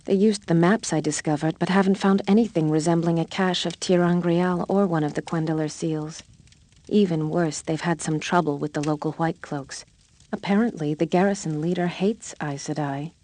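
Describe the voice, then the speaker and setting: A woman playing a composed but worried scholar of a magical tower, explaining things in a measured way in a game cutscene. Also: lowish voice, steady tone, a little mellow, lightly acted. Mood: concerned. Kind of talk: dramatic game cutscene acting.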